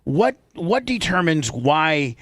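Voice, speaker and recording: Bright, forceful American man hosting talk radio. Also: a little high, sing-song, slow pace, dry recording, studio quality. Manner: blunt, pressing questioner.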